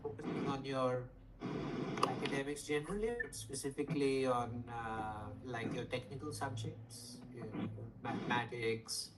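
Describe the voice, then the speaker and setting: A man in his forties, an economics professor, answering interview questions thoughtfully over a video call with frequent pauses and fillers. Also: medium pitch. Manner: thoughtful, hesitant with many fillers. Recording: distant from the mic, faint noise.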